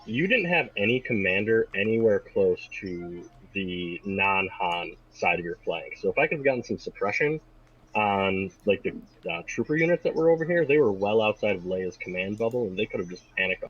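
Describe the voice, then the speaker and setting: A man's voice: fairly low pitch, expressive, normal speed. A male player explaining his tactics in a post-game discussion, thoughtful and explanatory. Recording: muffled, husky.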